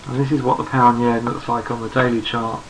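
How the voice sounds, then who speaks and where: A hoarse man who is losing his voice, talking through market charts in an unhurried, think-aloud way and often repeating words as he goes. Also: medium pitch, flat delivery, slight background noise, low-quality recording. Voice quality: gravelly. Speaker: trading analyst. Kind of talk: screen-recorded market chart commentary.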